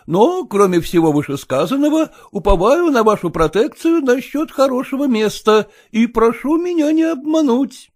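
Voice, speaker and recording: A man's voice: very high voice, sing-song, moderate pace, few pauses, voice pushed up, exaggerated voice. A man doing a whiny, haggling petty clerk. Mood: wheedling haggling. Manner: haggling, whining, obsequious. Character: stubborn haggling male clerk, fawning and petty.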